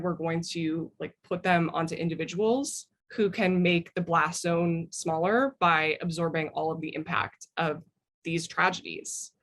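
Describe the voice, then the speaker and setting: Woman, American accent, lowish pitch, interview guest, thoughtful personal storytelling. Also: fairly bright tone. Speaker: interview guest, memoir author.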